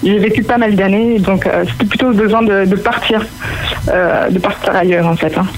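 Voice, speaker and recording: A woman answers a radio interview over the phone. She is soft and hesitant and fills her pauses with little fillers. A faint noise sits behind her voice. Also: medium pitch, fairly even intonation, low-quality recording. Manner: hesitant, soft, many fillers, laughs easily. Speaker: guest on the phone. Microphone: telephone.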